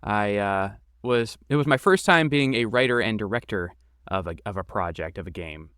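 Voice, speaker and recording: A man's voice: on the low side, moderate pace, fairly bright tone, clean tone, no echo, studio quality. A man answering interview questions casually as a podcast guest, with an informal, enthusiastic and self-deprecating manner. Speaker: podcast guest, game creator and voice actor.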